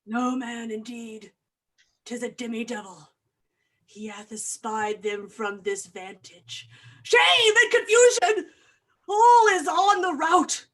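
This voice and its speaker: A woman's voice: a little high, animated, slow, fairly bright tone, exaggerated voice. A woman acting a frantic, vengeful doctor hunting a murderer in a Shakespearean staged reading, with grand, declamatory delivery. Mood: dread, fury. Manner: declamatory, archaic diction, grandly dramatic.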